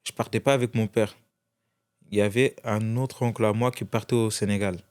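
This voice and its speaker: A man's voice: on the low side, steady tone, fairly quick, darkish tone, clear, resonant tone. A young man from France, a guest in an interview, telling his story casually with many restarts. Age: young adult.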